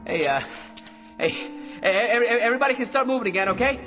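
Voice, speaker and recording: A man's voice: very high-pitched, animated, quick pace, sharp tone, soft background music, exaggerated voice. An actor playing a hapless ordinary man in a radio drama, cocky or frantic, stammering and chattering nervously. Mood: flustered, nervous.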